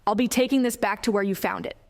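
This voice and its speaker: A woman's voice: mid-range voice, animated, fast talker, voice pushed up, natural voice. A woman voicing a determined young female soldier with a firm, commanding manner in an audiobook. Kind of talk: audiobook character voice.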